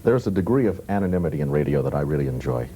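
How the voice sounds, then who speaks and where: Deep, fast-talking mellow man. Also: lively intonation. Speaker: radio broadcaster, talk show guest. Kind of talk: casual panel conversation. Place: TV studio. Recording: old TV tape, faint noise.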